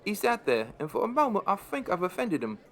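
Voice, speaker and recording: Guy playing middle-aged landlord telling a radio play story, dry and understated, voice a bit high. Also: sing-song, fairly quick, a faint crowd. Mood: dry, amused. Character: wry middle-aged male pub landlord, unimpressed storyteller. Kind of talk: first-person narration in a radio play.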